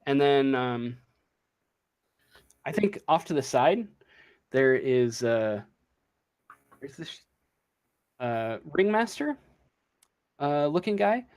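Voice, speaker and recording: A man narrating a tabletop game as game master, halting and searching for words as he improvises. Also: mid-range voice, big pitch swings, darkish tone, clean tone.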